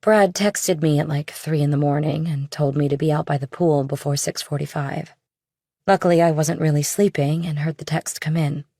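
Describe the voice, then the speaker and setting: A woman's voice: sits low, fairly even intonation, normal speed, mellow, no room sound, studio quality. A woman voicing an evasive, nonchalant young woman in an audiobook, casual and a little defensive. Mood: evasive, offhand. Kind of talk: audiobook character dialogue.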